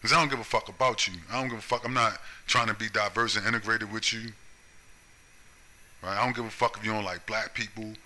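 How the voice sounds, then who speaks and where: A man's voice: lowish voice, steady tone, a little fast, sharp tone. An American man delivering a confrontational, profane solo monologue, punctuating his points with "right?" and "you see?". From United States. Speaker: video commentator.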